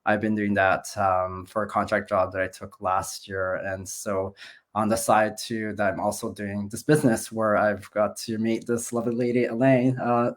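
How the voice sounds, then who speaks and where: A man in his thirties, a guest in a casual video-call interview, talking in a friendly, rambling way with many uhs. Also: on the low side. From Canada. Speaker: guest, nurse and business owner.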